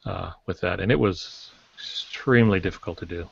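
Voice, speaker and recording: Older guy rambling casually on a podcast, lowish voice, stops to find words, muffled lo-fi sound. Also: normal speed, creaky, slightly rough. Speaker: podcast guest, writer. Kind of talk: casual panel talk, anecdotes. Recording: dull lo-fi roomy online audio.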